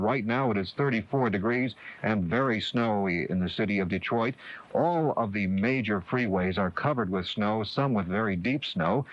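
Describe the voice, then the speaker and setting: Male local news anchor, American, lowish pitch, bulletin style, dull old radio recording. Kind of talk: morning news and weather bulletin. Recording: dull lo-fi 1980s radio broadcast tape.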